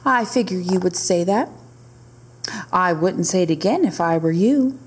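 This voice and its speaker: A woman's voice: on the low side, animated, a little slow, background noise. A woman voicing a stern male magic desert watcher in a storybook read-aloud, giving firm warnings.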